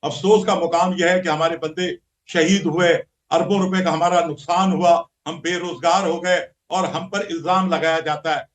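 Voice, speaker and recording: A man's voice: a little high, fairly even intonation, moderate pace. A man, a traders' association leader speaking as a guest on a news show, aggrieved, defensive and accusing.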